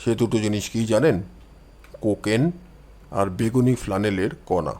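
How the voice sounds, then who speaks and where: Give the detective , as acted by a male storyteller, a low, deep register. He is calm and confident, laying out his reasoning in measured phrases with quiet self-assurance, as in an audio mystery story. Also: close to an everyday voice. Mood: calm, assured.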